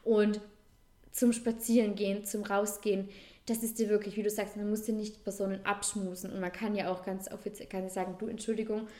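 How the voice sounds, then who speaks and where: Austrian woman co-hosting a podcast, in her twenties or thirties, chatty and loose, full of little fillers and restarts, at an ordinary middle pitch. Conversational and relaxed in delivery. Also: fairly quick. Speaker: podcast co-host. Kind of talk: casual chat.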